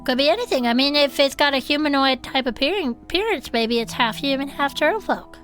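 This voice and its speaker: A woman playing an enthusiastic, toothless turtle-folk cryptid investigator who muses gently and is eager to believe, in conversational audio fiction. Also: sits high, brisk, faint music behind. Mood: playful musing.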